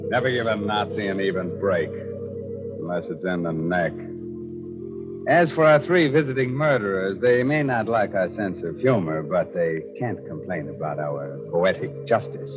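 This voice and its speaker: A man hosting a 1940s radio horror show in a theatrical, drawn-out, sardonic manner full of macabre wordplay. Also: low voice, music in the background. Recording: muffled 1940s radio broadcast.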